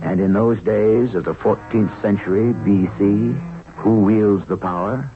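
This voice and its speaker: An older man hosting a radio drama, narrating in a measured, theatrical way with a gravelly voice. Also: lowish voice, a little hiss or hum. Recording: dull old radio broadcast. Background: dramatic music.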